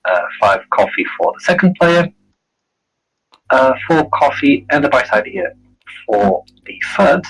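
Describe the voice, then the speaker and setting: A man setting up and explaining a board game, halting with many fillers. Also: mid-range voice, sing-song, a bit bright, breathy edge, low-quality recording. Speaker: game referee.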